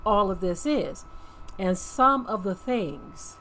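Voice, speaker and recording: A woman speaks as the host of a channel, commenting informally and gravely. She leans on key words and repeats them for emphasis. Her pitch sits in the middle for a woman, and there is audible noise behind her. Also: animated, slow, a bit bright, rough recording. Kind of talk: informal talk to camera, commentary.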